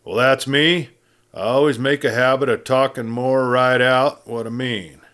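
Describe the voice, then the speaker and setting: Man voicing a gruff, growling Old West sheriff. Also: medium pitch, fairly even intonation, a bit bright, close to an everyday voice. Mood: dry, blunt. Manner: dry, blunt, drawn-out cowboy talk.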